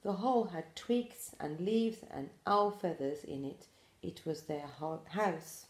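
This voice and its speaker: A woman in her forties gently reading a picture book aloud to pre-school children, with expressive storytelling. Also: on the low side, takes it slowly, reverberant. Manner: gentle, addressing small children. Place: small room indoors.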